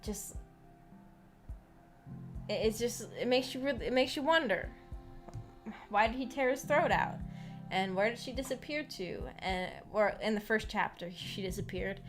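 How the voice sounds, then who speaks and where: A woman's voice: a little high, measured pace, long pauses. A woman hosting a casual livestream, reading out comments and answering them in a hesitant, rambling way that often trails off. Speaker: streamer hosting a visual novel book club. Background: background music.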